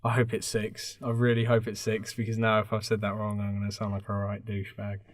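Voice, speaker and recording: A man's voice: fairly low pitch, completely flat delivery, a little fast, dry recording. A man co-hosting a podcast, bantering casually in a wry, teasing way. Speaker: podcast co-host.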